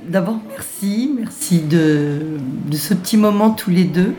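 A hoarse woman interviewing a guest, apologising playfully for her voice. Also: on the low side, measured pace, dark tone.

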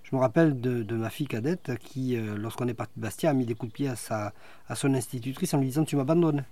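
A middle-aged man, a magistrate, answering interview questions in a measured, precise way with dry humor. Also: mid-range voice, mellow. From France. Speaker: guest, magistrate.

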